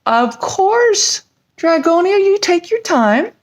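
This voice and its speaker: A woman storyteller talking in an animated way to young children during a bedtime storytime with puppet characters. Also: extremely high pitch, slow pace.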